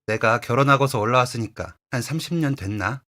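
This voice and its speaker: A man's voice: medium pitch, fairly quick, sharp tone, natural voice. A man voicing an older woman who runs a diner, talking bluntly in a Gyeongsang dialect, over faint music. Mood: musing. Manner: blunt, homey dialect speech. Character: older woman restaurant owner, chatty, blunt.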